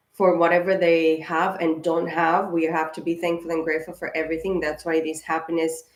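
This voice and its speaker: Low-voiced woman reading tarot in an echoey room. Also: little pitch movement, moderate pace, slight background noise. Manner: rambling, with many fillers and restarts. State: slightly hoarse, clearing her throat. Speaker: tarot reader. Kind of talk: casual spiritual tarot reading to camera.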